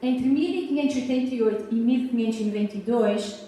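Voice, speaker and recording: American woman historian, Portuguese accent, English her first language, chatty public lecture, dark mellow voice, recorded far off in a very echoey hall. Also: a little high, brisk, slight background noise. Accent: Portuguese (Azorean family), American English. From United States, Massachusetts. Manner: warm, chatty, asks the audience questions, frequent "tá?" check-ins. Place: hall of a cultural society.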